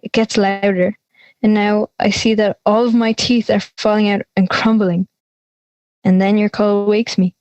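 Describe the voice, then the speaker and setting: A woman's voice: medium pitch, flat delivery, a little slow, darkish tone, no echo, highs cut off, close to an everyday voice. A young woman acting an anxious schoolgirl in an audio drama, confiding in a nervy storytelling way. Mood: uneasy. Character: anxious schoolgirl confiding her dreams and fears. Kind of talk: audio drama monologue and dialogue.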